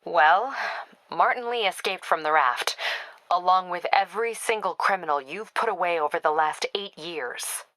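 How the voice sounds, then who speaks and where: Female police captain for a game, played by an actress: slightly low, sharp-edged voice, no-nonsense and weary, curt dispatch-style delivery with dry humor. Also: moderate pace, no room sound, natural voice, rough recording. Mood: urgent, businesslike. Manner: clipped, businesslike, dryly wry. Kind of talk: video game voice acting, radio dispatch briefings.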